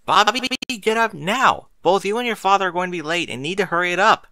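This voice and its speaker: A man's voice: sits high, a little fast, sharp tone, no room sound, clean studio sound, pitched above the performer's own voice. A man voicing an exasperated, stern mother of children in an audiobook, speaking in an emphatic, motherly way. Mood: angry, stern. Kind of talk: audiobook character voice.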